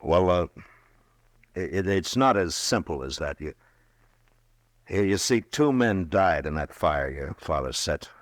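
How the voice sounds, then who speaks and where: Radio western lead: a kindly, hesitant marshal, extremely deep voice, dry close mic. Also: male voice, animated, a little slow, long pauses, dry recording. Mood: hesitant, gentle. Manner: stammering, hesitant, gentle. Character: weary, kindly frontier marshal, grown man. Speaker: old-time radio drama actor and in-story narrator. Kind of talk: 1950s radio western acting and first-person narration.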